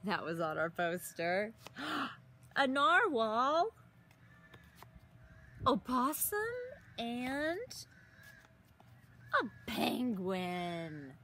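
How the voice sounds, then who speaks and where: A woman in her thirties reading a picture book aloud to young children outdoors, expressively, with exaggerated gasps and drawn-out words. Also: fairly high pitch, sing-song, sharp tone. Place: outdoors in a leafy garden. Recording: outdoor recording with some ambient noise.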